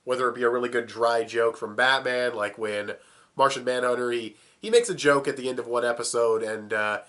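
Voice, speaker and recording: A man's voice: medium pitch, brisk, a bit bright. Sick young man reviewing cartoons. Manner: casual, swears, chuckles. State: sick, plugged up. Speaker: video reviewer. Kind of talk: casual review vlog.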